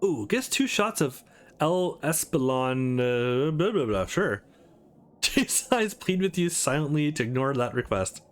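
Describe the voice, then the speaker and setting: A man's voice: a little high, big pitch swings, a little slow. A man reading a visual novel aloud as a let's play host, dropping in casual asides between the lines.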